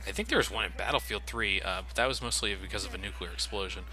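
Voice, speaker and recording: A man's voice: lowish voice, quick pace, bright, forceful tone, a little hiss or hum. A man giving casual, profane game commentary with a sardonic manner. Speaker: let's play host.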